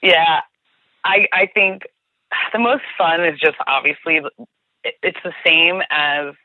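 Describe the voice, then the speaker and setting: A woman's voice: low voice, slow, no echo. Woman guest, New Jersey accent, rambling and upbeat, recorded over a tinny phone line. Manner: chatty, restarts often, says "like" a lot. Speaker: guest, tour guide. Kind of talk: casual podcast chat.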